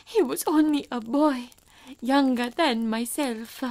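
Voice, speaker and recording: A man reading an audiobook voices a frightened young noblewoman captive, pleading in a hushed, breathless falsetto. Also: slow pace, creaky, heavily acted.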